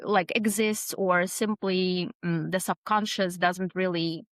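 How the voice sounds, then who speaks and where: A middle-aged woman from Russia, speaking at an unhurried, deliberate pace. She answers reflectively and leans on fillers and tag questions. The recording is dry and close. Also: on the low side, fairly even intonation, no room sound. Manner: thoughtful, frequent "um" and "right?", tag questions. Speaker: author guest. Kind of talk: conversational interview answer.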